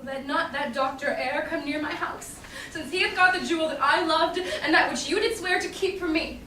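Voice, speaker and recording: A young woman playing a proud, reproachful, sharp-witted noble wife in a staged Shakespeare reading, declaiming indignantly. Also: high voice, moderate pace. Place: small indoor theatre stage. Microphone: far audience camera. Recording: roomy, noisy distant audience camera.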